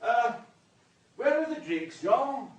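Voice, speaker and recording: A rich, urbane man of society in a radio play. His voice is high for a man and a little husky, swinging freely up and down. He is breezy, chatty and courteous, entirely sure of himself. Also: sing-song, takes it slowly, muffled recording, heavily acted. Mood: brisk, urbane. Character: wealthy, urbane, talkative society man, breezy and self-assured. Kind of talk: radio drama acting.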